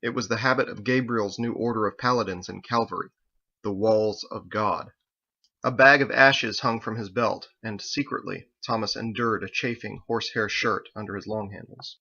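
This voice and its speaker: A man's voice: on the low side, fairly even intonation, moderate pace, creaky, bright, forceful tone, breathy edge. A man reading scripted audiobook narration as the author of the story, on a slightly lo-fi recording. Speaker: author reading his own book.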